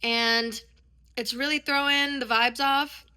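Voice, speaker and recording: A woman's voice: high-pitched, fairly even intonation, slow pace, sharp tone, clear, resonant tone. A woman in her thirties, congested with a sore throat, chatting casually and candidly as in a personal vlog. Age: 30s. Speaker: dance and performance vlogger.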